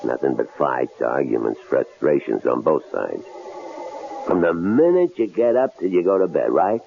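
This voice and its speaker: An actor in a 1970s radio drama playing a menacing killer holding captives, taunting them with coolly sardonic, drawling questions. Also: male voice, rumbling low, expressive, normal speed, vocal fry, heavily acted. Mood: pressing. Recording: tinny, noisy old radio broadcast recording.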